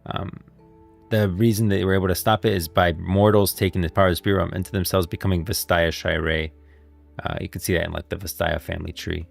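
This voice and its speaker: A man doing casual live commentary on game lore as a streamer, halting and repeating himself as he thinks aloud. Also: sits low, little pitch movement, gravelly, soft background music.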